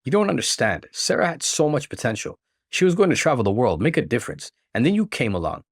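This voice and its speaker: A man's voice: mid-range voice, big pitch swings, fast talker, dry recording, studio quality, voice pushed up. A man voicing a jealous, bitter woman, the best friend in a story reading, defensive and emotional.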